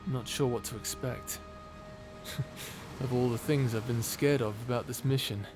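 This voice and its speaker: A man's voice: medium pitch, fairly even intonation, normal speed, natural voice. A man playing a flirty, self-deprecating space pirate captain in an intimate audio roleplay, with a hesitant, nervously laughing manner. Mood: nervous, tender. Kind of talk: intimate ASMR roleplay acting. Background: music.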